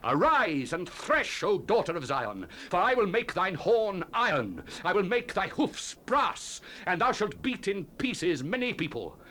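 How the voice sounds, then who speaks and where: A man's voice: high-pitched, sing-song, a little slow, hardly any pauses, pushed and bright. A man giving a solemn, declamatory dramatized scripture reading as a Bible narrator, in the manner of a 1970s audio Bible. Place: studio recording. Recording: noisy 1970s cassette tape transfer.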